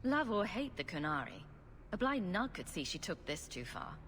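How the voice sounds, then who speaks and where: A sarcastic rogue. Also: female voice, lowish voice, sing-song, moderate pace, a bit bright, a little hiss or hum. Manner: dry, sarcastic, muttering. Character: sarcastic young female rogue hero. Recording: game audio with music behind.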